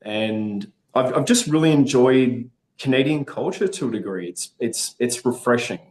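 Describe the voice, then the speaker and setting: A man's voice: medium pitch, lively intonation, normal speed, a little mellow. Upbeat Australian man, echoey room. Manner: upbeat, chatty, frequent restarts. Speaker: guest. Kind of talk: casual interview.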